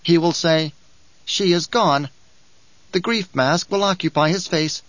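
A man's voice: fairly high pitch, measured pace, fairly bright tone, dry recording, dull audio, voice pushed up. Bijaz, a man doing a whining, spiteful dwarf. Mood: mocking. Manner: whining, giggling, taunting. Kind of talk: audiobook character reading.

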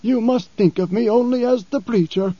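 A man voicing a blind, weary desert preacher who speaks in a solemn, measured way. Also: mellow, no echo, muffled recording. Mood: insistent. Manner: solemn, measured, raised pitch.

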